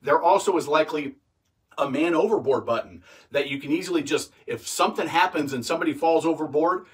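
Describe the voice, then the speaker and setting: A man's voice: on the high side, a little fast, far from the mic. A man giving informal, practical instruction like a boating teacher, repeating key points for emphasis. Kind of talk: informal instructional video talk.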